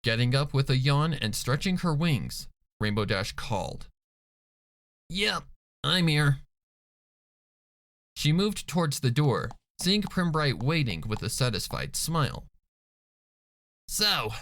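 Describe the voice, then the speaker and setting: A man's voice: medium pitch, very animated, moderate pace. A man reading a scripted story as a dramatic narrator. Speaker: fan fiction reader and narrator.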